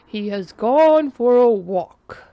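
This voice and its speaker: Woman playing a slow, stubborn male alien. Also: fairly high pitch, no room sound, highs cut off. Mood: urgent. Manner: slow, deliberate and flatly dismissive.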